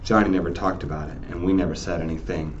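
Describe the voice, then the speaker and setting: A man's voice: deep voice, fairly even intonation, fast talker, dull audio, slight background noise. A man, a teacher, narrating a novel aloud.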